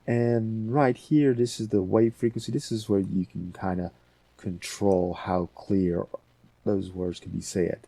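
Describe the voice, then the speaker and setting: A man with a very low, dark and husky voice explains software steps in a casual tutorial. He thinks aloud informally, and the sound is close and dry. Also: fairly even intonation, a little slow, no room sound. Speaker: audio editing tutorial host.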